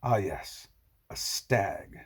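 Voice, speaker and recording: A man voicing a confrontational, needling leader in an audiobook, pointed and deliberate, with a husky voice. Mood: sardonic.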